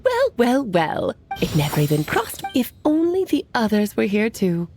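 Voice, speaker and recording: A woman's voice: a little high, huge pitch swings, normal speed, a little mellow, soft background music. An actress playing a playful, worldly witch in a video game, breezy, teasing and theatrical. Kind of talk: video game character acting.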